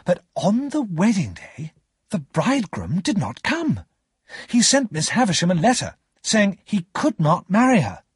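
A man voicing a frank young gentleman in a storybook, explaining things earnestly and deliberately. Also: high voice, huge pitch swings, husky, no room sound, clean studio sound, pitched above the performer's own voice, full character voice. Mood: earnest, grave.

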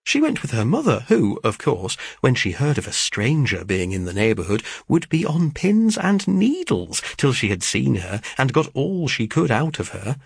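A man voicing an excitable young sister in an audiobook of a classic novel, chattering emphatically with gossip. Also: medium pitch, normal speed, vocal fry, few pauses, breathy edge, no room sound, studio quality, voice pushed up, full character voice. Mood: excited, gossipy.

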